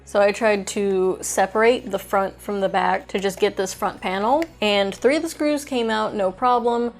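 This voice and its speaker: A woman's voice: mid-range voice, normal speed, faint music behind. A woman giving a casual, chatty product review, thinking aloud as she demonstrates. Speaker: toy reviewer, host.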